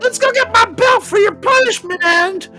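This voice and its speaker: A man voicing a loud, dramatic, drunk mother in a shrill falsetto, with music behind. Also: moderate pace, heavily acted. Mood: stern, slurry pride. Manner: screeching, melodramatic.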